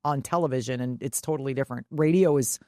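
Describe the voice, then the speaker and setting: A woman's voice: rumbling low, quick pace, dark tone, no room sound, clean studio sound. A woman co-hosting a casual sports talk show, retelling stories conversationally by acting out who said what. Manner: conversational, quotes herself and others. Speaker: sports podcast co-host. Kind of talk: casual chat, animated retelling.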